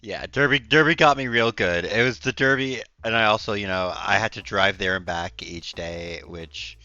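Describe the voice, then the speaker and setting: A man with a hoarse, nearly gone voice, chatting casually and effusively on a game livestream. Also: lowish voice, normal speed, sharp tone, no echo, muffled recording, soft background music. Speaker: game streamer.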